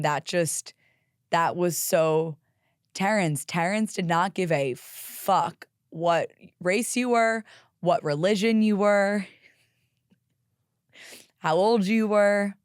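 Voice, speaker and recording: Young woman podcast host, hoarse and raspy, candid and profane, lower voice, dry close sound. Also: slow, dry recording. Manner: candid, profane, animated with laughs. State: hoarse from karaoke singing. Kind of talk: solo podcast monologue.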